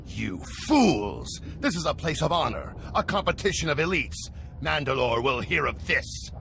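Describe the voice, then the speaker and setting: A man's voice: on the high side, big pitch swings, moderate pace, creaky, pushed and bright, a little hiss or hum, heavily acted. An actor playing a proud, indignant male rival hunter who speaks in a pompous, outraged way.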